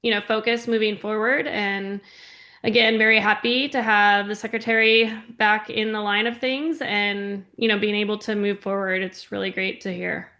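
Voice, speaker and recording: A woman board member giving measured remarks in a meeting discussion, trailing off softer at the ends. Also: mid-range voice, steady tone.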